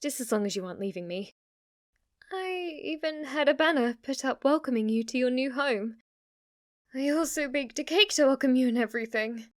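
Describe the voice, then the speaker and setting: A woman's voice: sits high, lively intonation, normal speed, no echo, clean studio sound. A woman voicing an obsessive, lovestruck witch in an intimate ASMR roleplay, with a hesitant, gushing way of talking. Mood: nervous, smitten. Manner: hesitant, rambling, gushing, giggly delivery. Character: lovestruck yandere witch, obsessive, shy yet giddy, teasing.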